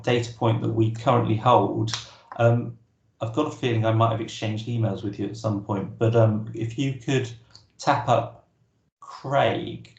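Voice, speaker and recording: A man's voice: lowish voice, a little mellow. A man presenting an online workshop, answering questions casually with frequent restarts. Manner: casual, hesitant, many restarts. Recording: roomy echo.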